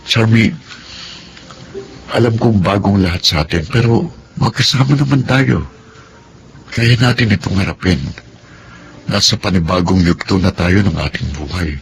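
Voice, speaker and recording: A man playing an earnest young husband who reasons calmly with his wife in a radio drama. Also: low-pitched, moderate pace, creaky, long pauses. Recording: background hiss.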